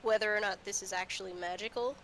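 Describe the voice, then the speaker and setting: A woman running a casual tabletop roleplay as game master, sick with a sore throat and speaking haltingly, repeating herself. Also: medium pitch, fairly even intonation, a bit bright, a little hiss or hum.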